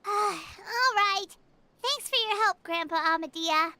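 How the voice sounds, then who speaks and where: A woman's voice: extremely high pitch, sharp tone, slight background noise, heavily acted. A woman voicing a tiny, chatty female fairy-like companion in a video game. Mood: curious. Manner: chatty, eager, talks in quick bursts.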